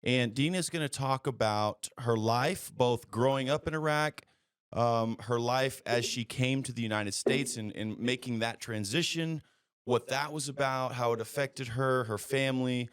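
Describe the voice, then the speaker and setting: A man's voice: mid-range voice, measured pace, a bit bright, clear, resonant tone. Casting: male podcast host, mid-range voice, informal interviewer who jumps in and recaps, recorded close and dry. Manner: informal, interjecting, echoes back what he hears. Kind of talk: casual interview talk.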